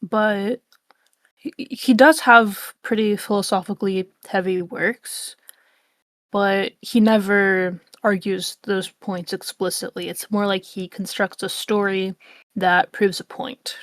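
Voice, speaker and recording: A woman's voice: mid-range voice, steady tone, slow pace, no echo. A woman chatting casually as a stream co-host, choosing her words thoughtfully and with some hesitation. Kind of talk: casual chat.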